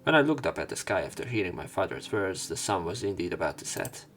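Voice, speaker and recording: A man reading a visual novel aloud as a let's play narrator, in an expressive storytelling style. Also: deep voice, quick pace, soft background music.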